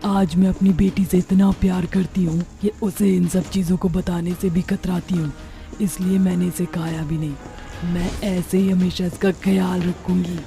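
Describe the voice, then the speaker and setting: A woman's voice: on the low side, little pitch movement, moderate pace, warm tone, faint music behind, natural voice. A woman voicing a man-eating witch turned doting mother in a Hindi cartoon story, exclaiming and talking to herself expressively. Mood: motherly, protective.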